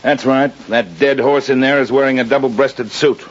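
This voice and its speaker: A man's voice: medium pitch, steady tone, moderate pace, lightly acted. A tough homicide cop voiced by a male actor in a 1940s radio drama. He is dry, sarcastic and distrustful, needling his suspect, and the sound is muffled with faint surface noise. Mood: dry, accusing.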